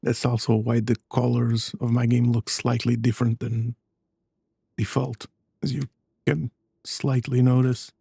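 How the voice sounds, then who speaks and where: Mellow, creaky-voiced man. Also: medium pitch, flat delivery, a little slow, dry recording, clean studio sound. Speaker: gaming streamer. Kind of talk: casual playthrough commentary.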